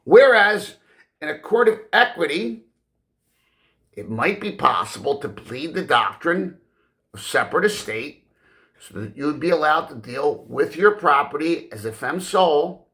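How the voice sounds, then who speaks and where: Man acting a ponderous Victorian solicitor, highly animated. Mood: pedantic, lecturing. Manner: formal, wordy legalese delivered with weighty pauses. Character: portly, pedantic Victorian gentleman solicitor, ponderous and condescending.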